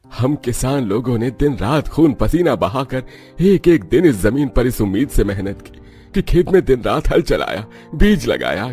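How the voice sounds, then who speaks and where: A man's voice: medium pitch, a little mellow, close to an everyday voice. A man playing a poor, aggrieved village farmer or labourer in a cartoon story, complaining in plain rustic speech.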